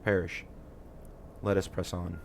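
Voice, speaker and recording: A man voicing a solemn, articulate young nobleman from a fantasy game in formal, deliberate declamation. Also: low-pitched, little pitch movement, vocal fry, a little hiss or hum. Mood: grave. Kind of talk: dramatic reading of game dialogue.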